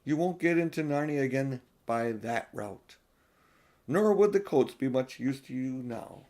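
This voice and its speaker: A man voicing a kindly, knowing old professor in a storybook, musing and gently admonishing. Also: medium pitch, animated, unhurried, close to an everyday voice. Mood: reassuring, advising. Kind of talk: storybook character voice.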